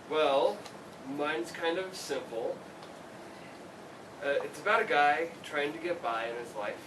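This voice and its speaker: A man playing an unimpressed, irritable slacker student with a casual manner in a live play reading. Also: fairly high pitch. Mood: unbothered. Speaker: actor in a staged play reading. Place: read before a live audience, far camera. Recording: noisy, distant room recording.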